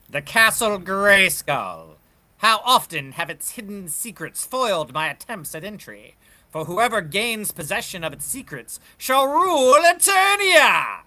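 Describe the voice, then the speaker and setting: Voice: mid-range voice, pushed and bright, heavily acted. A performer voicing a demonic skeletal sorcerer villain, grandiose, scheming and theatrical, in a dramatic table read of a comic book. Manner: theatrical, declaiming.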